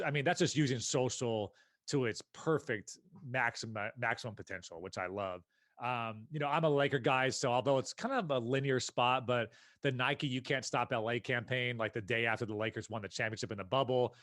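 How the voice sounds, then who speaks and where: A man's voice: mid-range voice, fairly quick, fairly bright tone, no room sound, clean studio sound. A man with an American accent answering interview questions in a casual, emphatic, opinionated way as a podcast guest. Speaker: podcast guest, company founder and CEO.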